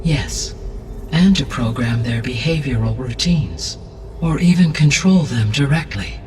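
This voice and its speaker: A man voicing a calm, benevolent artificial intelligence in a video game, speaking in a formal, precise and measured way. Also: medium pitch, recorded from a distance, a little hiss or hum, natural voice. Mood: matter-of-fact. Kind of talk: scripted video game cutscene dialogue. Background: background music.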